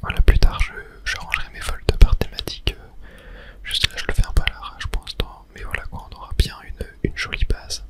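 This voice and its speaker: A person whispering softly through casual ASMR video game commentary, murmuring play-by-play with little filler words. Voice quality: soft unvoiced whisper. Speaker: gaming ASMR creator.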